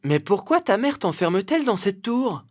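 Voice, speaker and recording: A woman's voice: mid-range voice, big pitch swings, normal speed. A woman playing a gallant, earnest young prince in a children's cartoon fairy tale. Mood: indignant, persuasive. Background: faint music.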